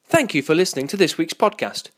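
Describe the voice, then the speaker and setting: Male announcer, slightly high pitch, animated melody, for scripted podcast intros and outros. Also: fast.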